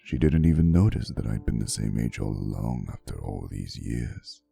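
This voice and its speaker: A man acts out an ancient vampire boyfriend in an intimate ASMR roleplay, devoted and slightly possessive. His voice is extremely deep, with heavy gravelly fry. He tells his tale softly and theatrically, with swooping intonation and old-fashioned phrasing. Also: very animated, faint music behind, full character voice. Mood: wistful, tender. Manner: soft, unhurried, theatrical, old-fashioned wording.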